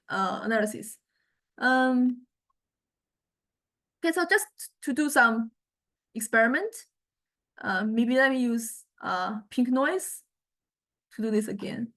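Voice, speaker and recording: Female lecturer, slightly high voice, informal software demo, tentative delivery with pauses to find words. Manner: hesitant, thinking aloud, searching for words. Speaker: music technology lecturer.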